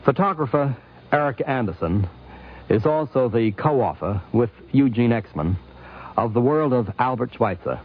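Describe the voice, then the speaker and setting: A man narrating a scripted 1950s radio program in a formal, measured way. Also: mid-range voice, animated, slight background noise. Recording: narrow tinny 1950s radio recording.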